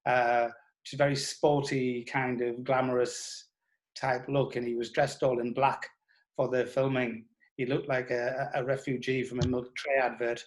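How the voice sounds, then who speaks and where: Hesitant, measured man. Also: medium pitch, flat delivery, poor audio. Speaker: writer interviewed about audio drama scripts. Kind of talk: reflective interview reminiscence.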